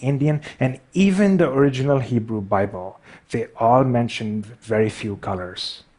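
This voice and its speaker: A man's voice: medium pitch, darkish tone. A young man with a Pakistani accent giving a prepared talk, wry and self-deprecating, with a light stutter.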